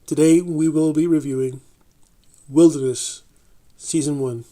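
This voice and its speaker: A man reading a scripted TV review in a measured, deliberate way, with the odd slip and restart. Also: a little high, steady tone, warm tone. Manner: measured, deliberate delivery with occasional slips and restarts. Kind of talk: scripted review read aloud.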